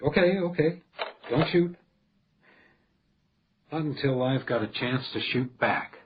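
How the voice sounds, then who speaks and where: An actor playing a shrewd, defiant house detective, speaking in a precise, dryly wry way in a radio crime drama. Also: male voice, mid-range voice, a little slow, highs cut off, background noise, close to an everyday voice. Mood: tense, wry.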